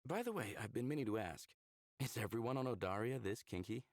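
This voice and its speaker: A man's voice: medium pitch, animated, a little fast, dry recording, clean studio sound, lightly acted. A man playing a friendly, curious human lover in a visual novel, speaking casually and inquisitively. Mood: warm, supportive. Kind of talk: visual novel character acting.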